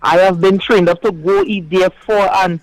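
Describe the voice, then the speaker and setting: A Trinidadian man with a loud, big voice and a high pitch for a man, pressing his points emphatically. His voice sits dry and close to the mic, with no room around it. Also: fairly even intonation, normal speed, dry recording, rough recording. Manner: emphatic, insistent. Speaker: co-host. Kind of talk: casual radio chat.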